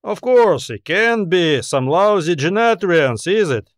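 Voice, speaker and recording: A genial old scientist played by a male narrator in a sci-fi audiobook, speaking in a raised pitch with very little room sound. He is polite and wry, making a sly show of being forgetful and humble. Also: lively intonation, unhurried, no echo, studio quality. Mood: amused, wry. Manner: mild, polite, slyly self-deprecating.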